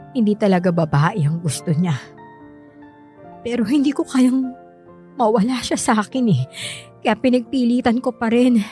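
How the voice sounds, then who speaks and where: An actress playing a gentle, sorrowful middle-aged mother who pleads softly and hesitantly with her grown son in a radio drama. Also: mid-range voice, lightly acted. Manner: soft, pleading, hesitant confessions.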